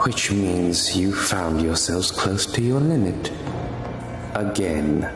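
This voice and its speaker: An actor playing a stern, commanding superior of a holy order in a dark fantasy game, formal and imperious. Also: male voice, low voice, animated, takes it slowly. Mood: measured, probing. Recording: roomy echo, music behind.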